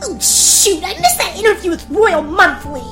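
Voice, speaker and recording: A woman's voice: very high-pitched, expressive, moderate pace, over music. A woman voicing a sassy, impulsive young princess, blunt and dramatic, in a cartoon parody. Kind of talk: cartoon gag-dub parody.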